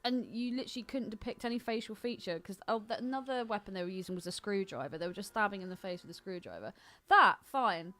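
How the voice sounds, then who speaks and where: A woman's voice: mid-range voice, animated, fast talker, few pauses, bright, forceful tone, slight background noise. A woman co-hosting a podcast in casual film chat, with a blunt, sweary, opinionated way of talking. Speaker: podcast co-host.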